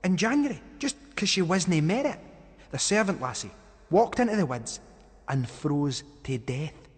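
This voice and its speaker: A man's voice: on the high side, very animated, unhurried, breathy edge, long reverb tail, voice pushed up, exaggerated voice. Man voicing eager Scottish lad spinning spooky tales. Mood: eerie, eager. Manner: insistent, suspenseful storytelling. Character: Liam, teenage boy eagerly telling a spooky legend.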